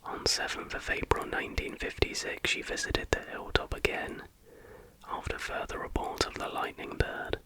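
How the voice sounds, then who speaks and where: A man reading aloud in a soft, breathy, unvoiced whisper as an informal ASMR host. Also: normal speed.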